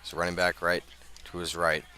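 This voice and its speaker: A man's voice: deep voice, completely flat delivery, normal speed, sharp tone. An American man doing live radio play-by-play of a football game in short, clipped phrases. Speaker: play-by-play commentator.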